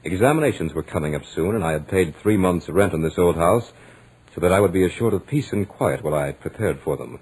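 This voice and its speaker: An actor playing a self-assured, studious young gentleman narrating his own tale in measured, literate 1940s radio drama style. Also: sits low, animated, breathy edge, exaggerated voice, low-quality recording. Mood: composed, amused.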